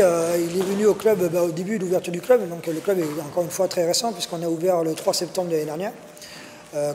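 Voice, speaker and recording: A man's voice: sits high, a little mellow, big-room sound, a little hiss or hum. A man, a martial arts coach, answering interview questions in a gym, hesitant with many fillers and restarts.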